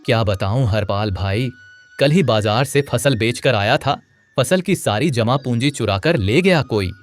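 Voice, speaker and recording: Male actor as rustic farmhand, medium pitch, familiar and plain-spoken, story drama with music under. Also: expressive, unhurried, lightly acted. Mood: wailing, distressed. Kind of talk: dramatized moral story acting.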